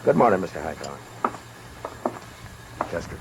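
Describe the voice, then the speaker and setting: Deep american old west marshal, stern n dry, old radio drama w lots of noise. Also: male voice, very animated, creaky, heavily acted. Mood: businesslike. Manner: dry, sardonic, measured. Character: stern, weary, principled US marshal of frontier West. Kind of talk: 1950s radio western acting. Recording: noisy 1950s radio recording.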